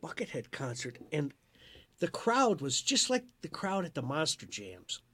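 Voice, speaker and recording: A man's voice: fairly high pitch, huge pitch swings, a little slow, a bit bright, breathy edge. A man with a Detroit accent, a laid-back, jokey musical guest chatting casually. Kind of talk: casual chat and live singing with guitar.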